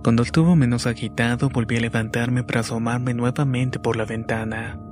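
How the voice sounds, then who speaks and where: A man reading a scripted horror story as a narrator, in a measured, deliberate way. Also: medium pitch, steady tone, darkish tone. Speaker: narrator of listeners' paranormal stories. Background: background music.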